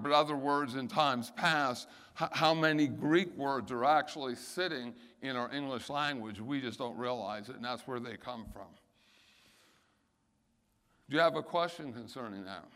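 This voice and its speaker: A man's voice: mid-range voice, sharp tone. Male Bible teacher in a reverberant hall. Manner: halting, restarts phrases, slows on key words.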